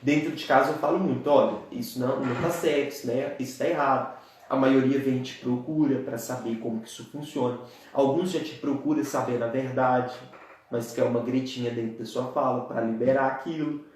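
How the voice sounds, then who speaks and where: A man's voice: medium pitch, fairly even intonation, brisk, darkish tone, heavy reverb, a little hiss or hum. A Brazilian man in his twenties with a Brazilian Portuguese accent, preaching an earnest church teaching and often calling his listeners dear ones. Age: 20s. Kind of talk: church teaching talk on a live stream.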